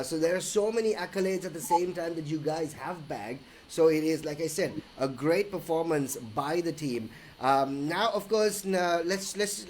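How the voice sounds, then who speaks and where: A man's voice: fairly high pitch, darkish tone, a little hiss or hum. A man from Sri Lanka hosting a casual podcast interview, chatty and prone to restarts. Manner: chatty, frequent restarts and fillers. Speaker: interviewer host.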